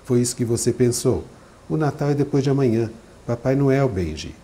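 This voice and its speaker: A man reading aloud and voicing a caring older sister who talks gently and coaxingly. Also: medium pitch, animated, normal speed, lightly acted. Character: young woman, a warm caring older sister.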